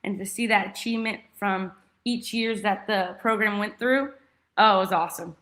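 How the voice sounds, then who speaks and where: A woman's voice: medium pitch, normal speed, fairly bright tone. A young American woman from Texas chatting as an interview guest, enthusiastic and effusive. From Texas, United States. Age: young adult. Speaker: interview guest, former college soccer player. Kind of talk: casual interview chat.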